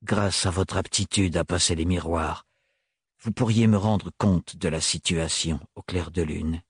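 Man doing a curt, gruff, stern man. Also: low-pitched, a little slow, no echo, studio quality, pitched below the performer's own voice, heavily acted. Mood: awkward. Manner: curt, gruff, flat like an accountant.

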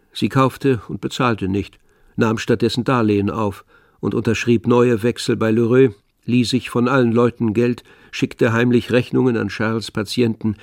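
An actor playing the all-knowing narrator of a literary tragedy in a radio drama, telling it in a measured, expressive way. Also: male voice, medium pitch, creaky, no echo, studio quality, natural voice.

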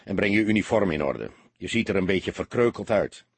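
A man's voice: low-pitched, lively intonation, fairly quick, breathy edge, no echo, heavily acted. A man voicing an authoritative senior police detective in an audiobook, stern yet kindly. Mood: kindly reproach. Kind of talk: audiobook character acting.

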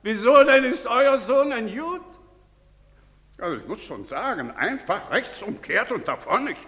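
An actor playing a pompous, self-important, bigoted middle-aged village doctor, declaiming in a theatrical stage manner. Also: male voice, extremely high pitch, very animated, a little slow, bright, forceful tone, exaggerated voice. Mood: indignant, blustering. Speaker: stage actor in a broadcast drama. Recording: reverberant theatre stage recording.

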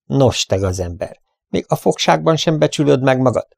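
A man voicing a domineering, angry western bandit boss with a gruff, bullying, deceitful manner. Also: medium pitch, a little mellow, dry recording, studio quality, natural voice.

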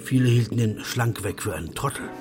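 An actor playing a wry narrator of a wicked underworld tale in a literary radio play, his voice rough and his delivery savouring. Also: fairly low pitch, brisk, music in the background, natural voice.

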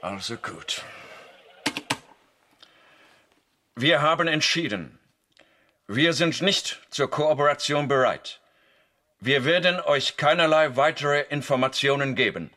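An actor playing a sober, resolute crew member in a radio play, speaking plainly and deliberately. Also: male voice, on the high side, expressive, sharp tone, slight background noise. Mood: firm, defiant. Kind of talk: German radio play acting.

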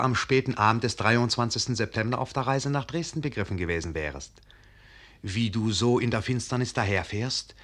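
An actor playing the narrator of a radio drama, addressing the listener in measured, literary, suspenseful storytelling. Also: male voice, mid-range voice, fairly bright tone.

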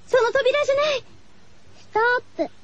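A woman's voice: very high-pitched, steady tone, brisk, no room sound, pitched above the performer's own voice. A woman playing an earnest, fearful schoolgirl class monitor who senses eerie things, in a horror radio drama. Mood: wary. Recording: dull lo-fi radio recording with music.

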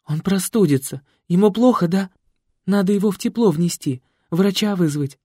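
A man doing a shrill, terrified young woman. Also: normal speed, mellow, slightly rough, dry recording, clean studio sound. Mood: plaintive. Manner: shrill screaming and plaintive pleading. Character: frightened, childlike young woman in shock. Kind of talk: audiobook character voice.

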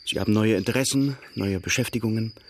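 A man's voice: lowish voice, quick pace, slight background noise, close to an everyday voice. An actor playing a charming, light-hearted artist, courteous and ardent as he courts his cousin in a radio drama. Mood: earnest, pleading.

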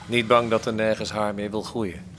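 An actor playing a skeptical prisoner who asks dry, needling questions in a colloquial way in a radio play. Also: male voice, fairly low pitch, level tone, moderate pace, natural voice. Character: probing, skeptical male fellow prisoner, drily needling. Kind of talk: Dutch radio play acting. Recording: faintly noisy radio drama recording.

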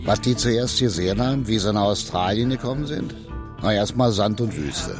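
A man's voice: fairly low pitch, lively intonation, moderate pace, music in the background, close to an everyday voice. A man playing a know-it-all working-class pub storyteller in a radio drama, emphatic and. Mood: boastful, instructive.

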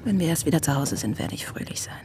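A woman's voice: low-pitched, lively intonation, quick pace, in a whisper. A woman acting an uneasy, melancholy young bride in a radio play, dreamy, subdued and brooding. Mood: brooding whisper. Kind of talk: introspective radio drama acting. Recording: loud music behind the voice.

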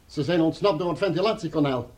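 Actor as high, husky, obedient male officer. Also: fast talker, darkish tone, lightly acted. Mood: dutiful. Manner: formal, deferential. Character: obedient, dutiful male officer serving a ruler. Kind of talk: science fiction radio drama acting.

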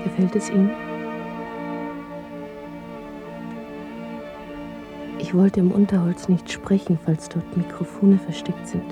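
An actress playing a bold, secretive young rebel woman under a dictatorship, deliberate and hushed, in a German radio drama. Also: lowish voice, close to an everyday voice. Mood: hushed, cautious. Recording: dry 1970s radio studio, faint music.

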